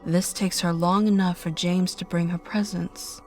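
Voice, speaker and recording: Slow, low-pitched woman narrator. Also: little pitch movement, darkish tone, faint music behind. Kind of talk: scripted dramatic story narration.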